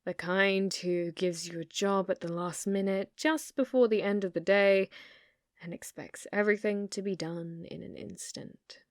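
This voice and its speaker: A British woman acting in an ASMR roleplay as a sweet, shy, secretly obsessive shop clerk, soft-spoken, hesitant and apologetic. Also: medium pitch, steady tone, measured pace, no echo, studio quality, lightly acted. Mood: curious, concerned.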